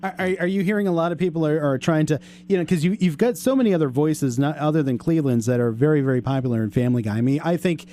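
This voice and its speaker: A man's voice: a little high, fast talker, hardly any pauses, dark tone, slight background noise. An American man hosting a casual interview, asking long, rambling, chatty questions. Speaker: interviewer, show host.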